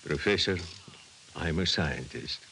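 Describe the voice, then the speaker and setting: An actor playing a sober, skeptical scientist, grave and restrained, in 1950s radio drama style. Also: male voice, extremely low pitch, animated, measured pace, bright, forceful tone, exaggerated voice. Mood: grave, doubting. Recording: hissy vintage 1950s radio recording.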